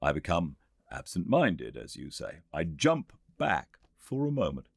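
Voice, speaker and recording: A man voicing a confident, reasoning gentleman inventor in a storybook reading, with a measured, lecturing manner. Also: low-pitched, huge pitch swings, vocal fry, slightly rough, no room sound, studio quality, exaggerated voice. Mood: earnest, lecturing. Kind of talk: audiobook character reading.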